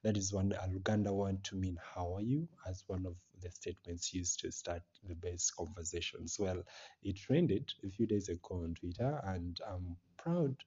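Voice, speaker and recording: A man with a Ugandan accent hosting a podcast, chatting casually and addressing listeners directly, with a husky voice. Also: low-pitched, steady tone, moderate pace, mellow, muffled recording. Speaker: podcast host. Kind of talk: casual solo podcast commentary.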